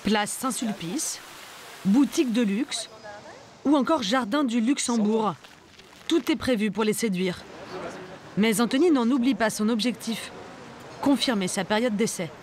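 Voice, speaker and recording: A woman reading a documentary voice-over in a measured, even way. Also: on the high side. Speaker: documentary narrator. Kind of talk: scripted voice-over narration. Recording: studio voice-over with music underneath.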